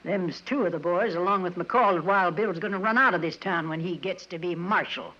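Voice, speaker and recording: An actress playing a rough, hard-drinking frontier woman in a 1950s radio western, with a folksy, swaggering American Western drawl. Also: fairly low pitch, brisk, pushed and bright. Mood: folksy warning. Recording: muffled 1950s radio broadcast recording.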